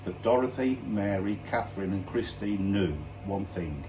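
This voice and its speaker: A man's voice: lowish voice, normal speed. A man reading a storybook aloud as a school staff member at storytime. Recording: distant mic, faint noise.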